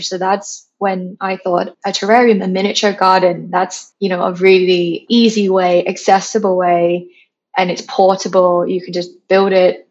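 A woman from Thailand in her thirties, talking casually as an interview guest and filling her story with "like". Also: on the low side. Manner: chatty, frequent "like", animated retelling. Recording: slightly dull lo-fi sound.

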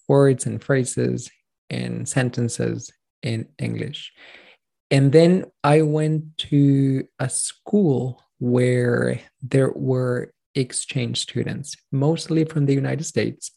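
Gravelly, husky-voiced Chilean man. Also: mid-range voice, fairly even intonation, slow pace, warm tone. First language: Spanish. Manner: earnest, rambling, thinks aloud with restarts. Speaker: pronunciation coach, guest. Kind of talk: casual interview talk.

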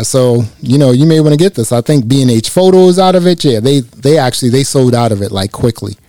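A man with a slightly raspy voice reviewing a microphone in a casual, chatty way, speaking close into a dynamic mic. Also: mid-range voice, dry recording. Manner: relaxed, chatty, many "you know" fillers. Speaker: gear reviewer. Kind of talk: casual product review to camera. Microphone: close dynamic microphone through a processor.

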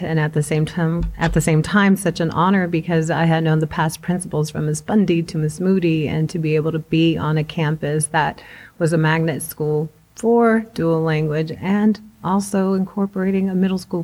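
A woman's voice: low-pitched, steady tone, moderate pace, a little mellow. A middle-aged woman whose first language is Spanish, a school principal giving conversational answers as an interview guest.

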